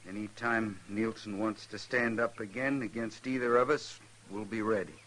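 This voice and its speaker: Forceful, proud American cowhand played by a man. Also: fairly low pitch, steady tone, normal speed, natural voice. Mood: defiant. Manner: defiant, folksy western talk. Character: proud, stubborn frontier cowhand spoiling for a fight. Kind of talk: 1950s radio western acting. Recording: noisy old-time radio drama recording.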